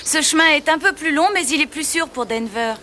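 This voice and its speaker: A woman voicing an eager, friendly young boy in a French cartoon dub, earnest and excitable. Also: high-pitched, a little fast, slight background noise. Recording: loud music behind the voice.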